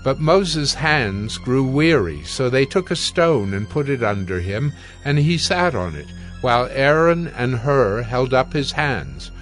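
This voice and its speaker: A male narrator reads scripture from a script, solemn and measured. His voice sits at a middle pitch, with a music bed behind it.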